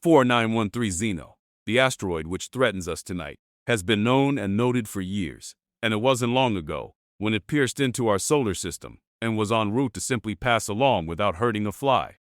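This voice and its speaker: A man playing a solemn government spokesman giving a formal, measured, rhetorical address to the nation in a disaster drama. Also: lowish voice, animated, no room sound, studio quality, lightly acted. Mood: grave, explanatory. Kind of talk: formal dramatic televised speech.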